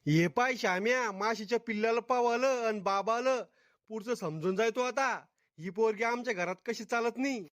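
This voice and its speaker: A man's voice: very high-pitched, normal speed, a bit bright, dry recording, studio quality. A man voicing a grumpy, blunt old village grandfather in a comic cartoon, with a Vidarbha Marathi accent. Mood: grumpy, scolding. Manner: blunt, disapproving, insulting.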